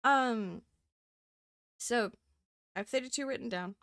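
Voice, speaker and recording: A woman's voice: on the high side, a little slow, fairly bright tone, no echo, clean studio sound. A woman streaming live, chatting casually with many fillers and giggles, a bit sniffly from a cold. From Southern Hemisphere. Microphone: desk microphone.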